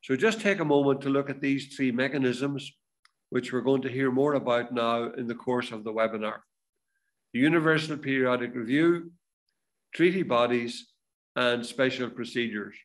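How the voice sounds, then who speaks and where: A man's voice: medium pitch, normal speed, rough recording. Older man explaining steadily on a webinar. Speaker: webinar presenter.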